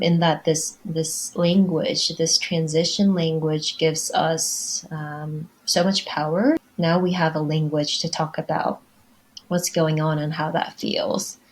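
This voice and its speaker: Woman, podcast panelist, lower-pitched, reflective, halting, thoughtful, casual discussion. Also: steady tone, low-quality recording. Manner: halting, thoughtful, pausing mid-sentence.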